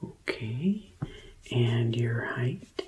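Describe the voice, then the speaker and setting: A woman doing a soft-spoken, often whispered ASMR roleplay as a gentle, deliberate receptionist attending to a customer. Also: a bass voice, lively intonation, noisy background. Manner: slow, gentle, deliberate customer-service delivery. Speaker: ASMR performer playing a vampire conversion receptionist.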